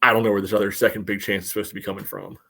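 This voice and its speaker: A man's voice: lowish voice, little pitch movement, rapid-fire, gravelly, bright, forceful tone, husky. A man co-hosting a podcast in casual sports chat, often saying "you know" as he goes. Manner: peppers speech with "you know". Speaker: podcast co-host.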